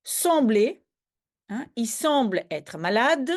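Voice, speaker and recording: A native Dutch-speaking woman explains grammar patiently, one step at a time. Her pitch rises and falls a lot, and she keeps asking if her listeners are following. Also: big pitch swings, slow pace. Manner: patient, step-by-step, checks understanding often. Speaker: language teacher. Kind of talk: explaining grammar in a video lesson.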